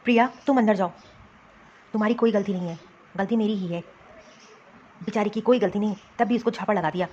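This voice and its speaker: A woman's voice: mid-range voice, brisk, long pauses, darkish tone, dull audio, slight background noise, natural voice. A woman voicing a protective adult son and husband in a cartoon family drama, reproachful and insistent. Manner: remonstrating, insistent.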